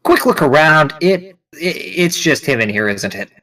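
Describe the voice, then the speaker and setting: A man playing in a tabletop roleplay, chatting casually and joking with lively jumps in his voice. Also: recorded from a distance, rough recording. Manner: animated, jokey, quick pitch jumps. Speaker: player.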